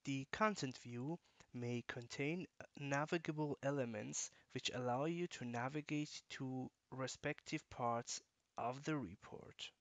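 A man with a mid-range voice reads a software walkthrough in an even, instructional way. The recording is dry and close, with no room sound. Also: medium pitch, dry recording. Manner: measured, instructional. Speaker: software tutorial narrator. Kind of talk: scripted screencast narration.

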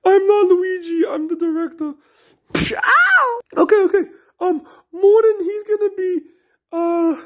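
Someone playing a bossy, very high-pitched cartoon kid. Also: female voice, animated, measured pace. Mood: whiny, defensive. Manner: bossy, whiny. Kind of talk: comedic character skit.

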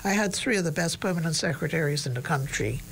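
A woman, a studio interview guest who reminisces gently and pauses to search for names. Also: low voice, lively intonation, creaky, slight background noise. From Bahamas. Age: 90s. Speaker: interview guest, retired education leader. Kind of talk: reflective interview conversation. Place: small studio, indoors. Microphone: desk microphone on boom.

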